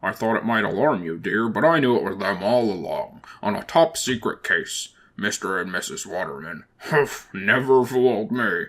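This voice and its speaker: A man's voice: fairly low pitch, lively intonation, normal speed, fairly bright tone, voice pushed down. A man voicing a smug, unflappable husband in a motion comic, dry and knowing.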